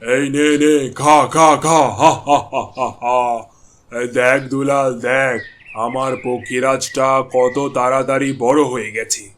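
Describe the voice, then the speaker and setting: A man's voice: medium pitch, little pitch movement, a little slow, natural voice. A man voicing a haughty, bossy village landlord in a cartoon, with a blustering, scolding manner. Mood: gleeful, then proud. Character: haughty village landlord doting on his pet goose. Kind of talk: cartoon voice acting.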